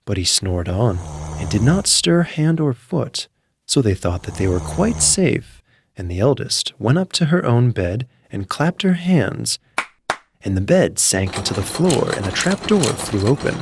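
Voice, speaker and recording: A man narrating a classic fairy tale from a script in a measured storyteller's manner. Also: mid-range voice, sing-song, noisy background.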